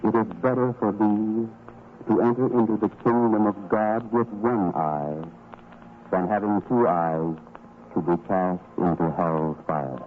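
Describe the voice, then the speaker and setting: An actor playing a solemn, authoritative biblical teacher in a radio drama, preaching in measured, grave, archaic oratory. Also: male voice, lowish voice, steady tone, natural voice. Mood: solemn warning. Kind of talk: old-time religious radio drama acting. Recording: tinny, band-limited old radio recording, noisy.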